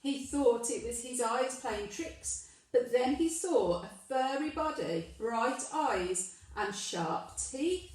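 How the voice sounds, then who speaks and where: A woman reading a children's story aloud with emphatic, deliberate delivery, addressing questions to young listeners. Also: fairly high pitch, animated, a little mellow. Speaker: primary school storyteller. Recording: very echoey room, distant microphone.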